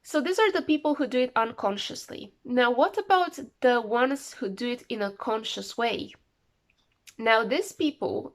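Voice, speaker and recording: A woman with the flu talking casually to camera, rambling and repeating words for emphasis. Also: on the high side. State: sick with flu, voice a bit off. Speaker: vlogger.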